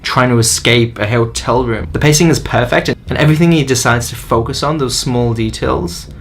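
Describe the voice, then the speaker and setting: A man's voice: medium pitch, moderate pace. A man narrating a scripted book review in an enthusiastic, opinionated way. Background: faint music.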